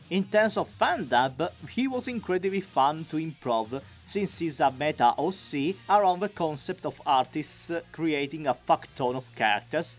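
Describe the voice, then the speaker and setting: An enthusiastic man narrates a chatty recap in the style of an online creator. His pitch moves a great deal and his delivery is expressive. Soft music plays underneath. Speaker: video creator and amateur voice actor.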